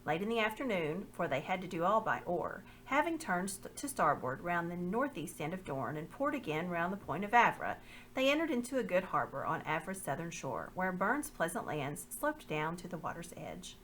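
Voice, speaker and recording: A woman reading a children's novel aloud with expressive, measured narration. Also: fairly low pitch, slight background noise, poor audio. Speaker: reader.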